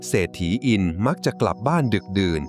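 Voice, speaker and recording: Male storyteller, low voice, very lively intonation, dramatic suspenseful folk tale narration, music underneath. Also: a little fast. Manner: expressive, suspenseful.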